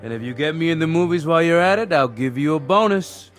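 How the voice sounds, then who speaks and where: Actor as sardonic bartender, slightly high voice. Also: male voice, lively intonation, fast, faint music behind, lightly acted. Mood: mocking. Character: skeptical, wisecracking bartender. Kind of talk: television drama acting.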